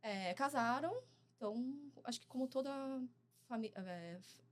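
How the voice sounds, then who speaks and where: A woman in her forties from Brazil, talking as a guest in a casual interview, soft-spoken and hesitant with frequent pauses and fillers. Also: on the high side, animated. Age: 40s.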